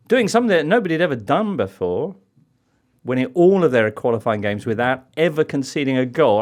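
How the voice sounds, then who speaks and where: A man hosting a casual football podcast, quick with jokes and chatty. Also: mid-range voice, darkish tone. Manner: jokey, quick-witted, chatty. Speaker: podcast host.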